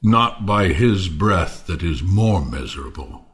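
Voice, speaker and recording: A man's voice: sits low, animated, takes it slowly, a bit bright, heavily acted. An actor playing a bitter, ruined Athenian nobleman turned misanthrope in a Shakespearean audio play, theatrical and scornful.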